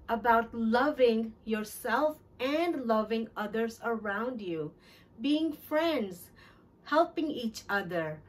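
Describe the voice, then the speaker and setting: Children's read-aloud host: middle-aged woman, higher voice, very slow deliberate phrasing, recorded away from the mic. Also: far from the mic. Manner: deliberate, clearly phrased, addressing young children. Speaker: children's storytime host and teacher. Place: small decorated classroom-style storytime corner, indoors.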